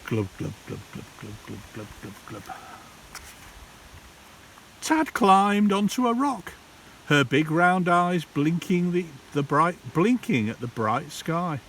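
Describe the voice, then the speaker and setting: A man, a primary school teacher, reads a children's storybook aloud in a theatrical, deliberate way with dramatic pauses. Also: on the high side, very animated, a bit bright. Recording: distant mic, hissy background noise.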